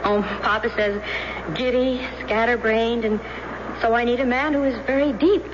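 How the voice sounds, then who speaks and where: A woman's voice: fairly high pitch, steady tone, moderate pace, close to an everyday voice. An actress playing a giddy, lovestruck young German woman in a 1970s radio drama, gushing and breathless. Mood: romantic, confiding. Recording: dull, very noisy old radio recording.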